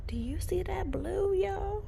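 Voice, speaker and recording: A woman's voice: very high voice, slow, creaky, noisy background. A woman chatting casually to camera at home while showing her pet tarantulas, at times dropping to a whisper. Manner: chatty and animated, addresses viewers as y'all, drops to whispers near the spiders. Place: home room with tarantula enclosures.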